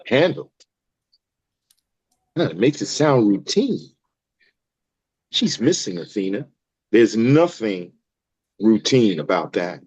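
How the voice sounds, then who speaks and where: A man's voice: lowish voice, very animated, takes it slowly, long pauses, a little mellow, slightly rough, dry recording, full character voice. Actor as guarded male doctor, very varied intonation, dry and slightly muffled sound. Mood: guarded, troubled. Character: evasive male doctor, defensive and measured. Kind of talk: table read of a screenplay.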